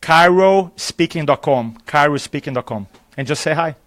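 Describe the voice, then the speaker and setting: Brazilian man, native Portuguese speaker, energetic conference interview guest, a slightly raised voice, dry close mic. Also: a little high, normal speed, no room sound. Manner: animated storyteller, acts out dialogue, laughs often. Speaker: public speaking trainer, guest. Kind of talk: enthusiastic live interview chat. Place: conference venue.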